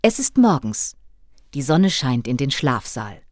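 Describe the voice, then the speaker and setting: A woman narrating a scripted children's audio play in a dramatic, expressive storybook manner. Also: a bass voice, a little slow, dry recording, studio quality.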